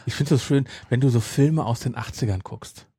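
Casual podcast host, male, relaxed mellow delivery that rambles and self-corrects, very dry recording. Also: medium pitch, normal speed, slightly rough, no echo.

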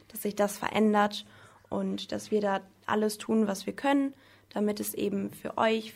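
Teenage girl explaining things, slightly creaky voice. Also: mid-range voice. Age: teens. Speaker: student council representative being interviewed. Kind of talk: interview answers in simple explanatory language.

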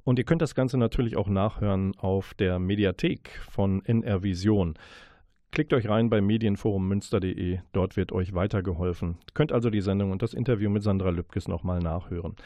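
A male radio host with a mellow, relaxed tone and a fairly low voice. He talks casually and directly to his listeners, close on the mic in a studio. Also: normal speed, no room sound. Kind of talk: informal radio show sign-off.

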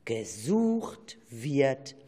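A narrator reading a children's radio play script in an expressive, suspenseful storytelling manner. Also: mid-range voice, extremely slow, slightly rough.

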